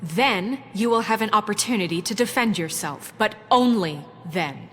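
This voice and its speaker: An actress playing a poised, principled town official in a dramatic game, speaking in a measured, authoritative way. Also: female voice, medium pitch, lively intonation, fairly bright tone. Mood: formal, composed. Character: poised, firm, principled female town official presiding at trial. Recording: long reverb, music behind.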